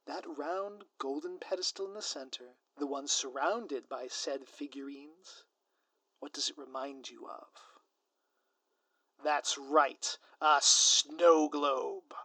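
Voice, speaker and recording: A man's voice: on the high side, takes it slowly, bright, forceful tone, no room sound, heavily acted. A man playing a gleefully scheming, grandiose supervillain in an audio roleplay, pompous and taunting with florid, melodramatic delivery. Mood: smug, showy.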